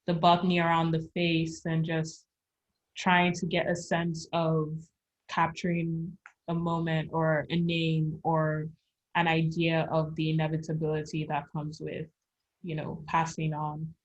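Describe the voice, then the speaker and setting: A young woman with a low voice, speaking. She talks thoughtfully, pausing and searching for her words. Her tone stays fairly level throughout. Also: completely flat delivery, lo-fi sound. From Nigeria. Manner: thoughtful, searching, with pauses and fillers. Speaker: artist interviewee. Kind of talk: reflective conversation.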